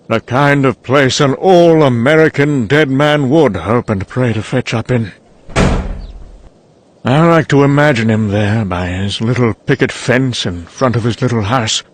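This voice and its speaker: A man's voice: mid-range voice, natural voice. Man playing a sardonic scientist over background noise. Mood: wry musing. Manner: sardonic, musing, condescending. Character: wry, cynical male scientist recounting a doomed secret programme. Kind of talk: dramatic monologue for a motion comic.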